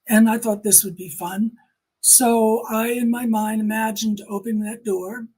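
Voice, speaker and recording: A woman's voice: on the high side, fairly even intonation, a little slow, mellow, slight background noise. A woman giving personal testimony in an interview, speaking emphatically and repeating phrases to drive points home.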